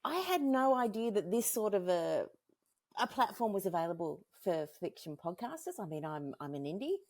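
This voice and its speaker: A woman's voice: medium pitch, very animated, normal speed, a little mellow, dry recording. A woman with an Australian accent interviewing someone in a casual, informal and self-deprecating way. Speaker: interviewer and indie fiction podcaster.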